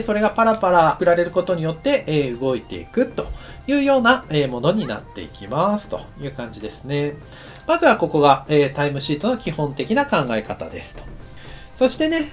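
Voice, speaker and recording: A middle-aged man, an anime director, explaining a technical topic in a relaxed, chatty lecture full of fillers. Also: high-pitched. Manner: relaxed and chatty, many fillers, lively rising and falling delivery. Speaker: anime director teaching a course. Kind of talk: explanatory screen-share lecture.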